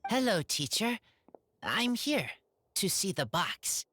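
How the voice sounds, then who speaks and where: A woman voicing a sincere feral teenage boy raised by wolves in a video game, speaking in halting, simple phrases. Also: mid-range voice, sing-song, fairly bright tone, slight background noise. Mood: resolved. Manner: halting, terse broken phrases.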